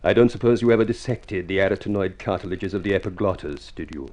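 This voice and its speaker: A man's voice: low voice, fast talker, darkish tone, lightly acted. An actor playing a glib, grandiloquent man with a flowery tongue in a vintage radio drama. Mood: pompous sales pitch. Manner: florid, grandiloquent delivery. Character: ugly, glib, flowery-tongued suitor turned pushy remedy salesman. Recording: noisy vintage radio recording.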